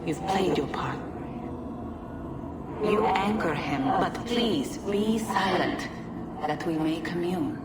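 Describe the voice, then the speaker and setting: Slow, low-voiced ancient goddess, echoing. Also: female voice, animated, heavy background noise. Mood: commanding. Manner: slow, grave, formal oratory with deliberate pauses. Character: ancient goddess-like female precursor, solemn, imperious prophetess. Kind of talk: scripted dramatic game cutscene monologue. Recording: echoing, distant, over loud music.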